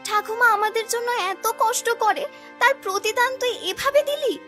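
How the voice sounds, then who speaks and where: Woman as a high-voiced gentle young village girl. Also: soft background music. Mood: hurt, pleading. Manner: pleading, soft-spoken, quick. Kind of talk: animated folk tale acting.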